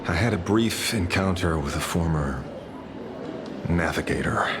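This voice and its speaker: An actor playing a grave, enigmatic leader of rangers in a video game, speaking in a slow, measured way. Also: male voice, low-pitched, background noise. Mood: grave, reflective.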